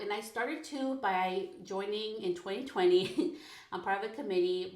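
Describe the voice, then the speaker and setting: A woman in her thirties hosting a personal podcast, talking frankly and casually, full of "like" and quick laughs. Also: fairly low pitch. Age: 30s. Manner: chatty, frank, peppered with "like", laughs often. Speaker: podcast host. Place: her bedroom recording corner. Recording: echoey home room.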